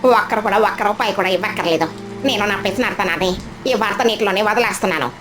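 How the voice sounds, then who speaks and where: A woman's voice: medium pitch, fast talker, pitched below the performer's own voice, lightly acted. A woman voicing a mother crow in a storybook tale, pleading and lamenting dramatically. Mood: resolute. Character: frantic, remorseful mother crow, once greedy. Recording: music behind the voice. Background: clear background music.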